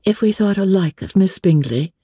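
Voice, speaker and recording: Woman acting a gentle, earnest young sister, pitched up. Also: expressive, normal speed, breathy edge, no echo, studio quality. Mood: earnest, trusting. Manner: earnest, soft-hearted, formal period speech. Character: gentle, hopeful, diffident young elder sister in a classic novel. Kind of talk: dramatic audiobook reading.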